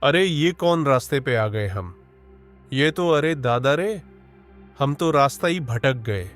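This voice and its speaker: A man's voice: mid-range voice, lively intonation, takes it slowly, faint music behind. A man voicing a poor, hardworking young village man who speaks in rustic village speech and exclaims often, in a cartoon story. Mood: fretful.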